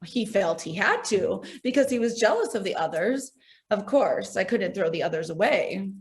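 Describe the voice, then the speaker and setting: An actress playing a self-possessed, wealthy society widow, poised and matter-of-fact in a stage comedy over a video call. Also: female voice, mid-range voice, very animated, normal speed.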